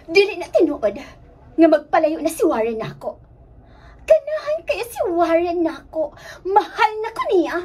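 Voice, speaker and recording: A woman acts out a Cebuano radio-drama temptress, obsessed and stubborn. The voice is extremely high and swings widely in pitch. It whines and coaxes theatrically. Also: very animated, measured pace, a little mellow, distant mic, full character voice. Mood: defiant, jealous. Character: seductive, obsessed, unstable mistress Karen, shrill and stubborn.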